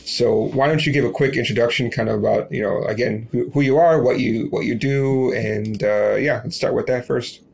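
A man hosts a podcast in an ordinary mid-pitched voice. He asks questions in a casual, conversational interview style. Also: fairly even intonation, normal speed, vocal fry, few pauses, breathy edge. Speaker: podcast host.

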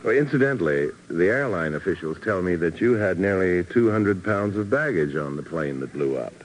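An actor playing a cool, skeptical investigator in a 1950s radio drama, dry and unruffled. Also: male voice, deep voice, animated, normal speed. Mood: cool, probing. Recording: noisy old radio broadcast recording.

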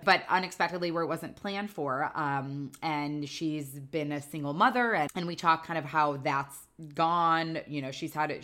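A woman with a notably low voice hosts a podcast in a loose, chatty way. She is getting over a cold, so her voice is a little nasal. She fills her talk with "um" and "you know" and laughs easily. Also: fairly bright tone. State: recovering from a cold, slightly nasal. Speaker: podcast host. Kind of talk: casual chat. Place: apartment in New York City.

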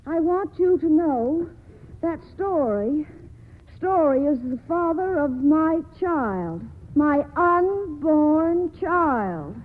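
A woman's voice: high-pitched, lively intonation, extremely slow, a little hiss or hum, exaggerated voice. An actress playing a tipsy, defiant young woman in love, in theatrical, insistent 1940s radio style. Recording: narrow, tinny old radio broadcast.

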